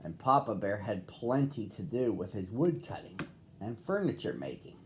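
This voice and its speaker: A man reading a picture book aloud to children as a storyteller, with expressive phrasing and drawn-out words. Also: on the low side, slight background noise. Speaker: storybook reader.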